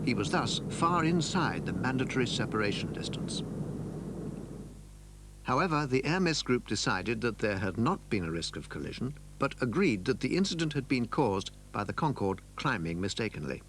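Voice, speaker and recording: A man's voice: mid-range voice, noisy background. A man reading scripted narration for a documentary.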